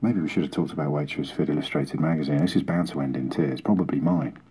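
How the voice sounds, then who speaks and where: A man's voice: extremely low pitch, fast talker, dark tone, husky. A man hosting talk radio with an emphatic, opinionated monologue full of rhetorical repetition, heard from a radio speaker. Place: played through a portable radio indoors. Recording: radio speaker filmed in a room.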